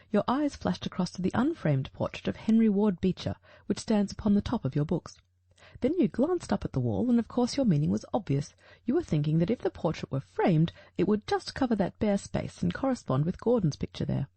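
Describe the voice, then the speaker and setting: A woman's voice: mid-range voice, very animated, fairly quick, creaky, mellow, breathy edge, no room sound, heavily acted. A woman reading the part of a shrewd, self-assured male detective in an audiobook, precise and formal as if teaching. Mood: explaining calmly.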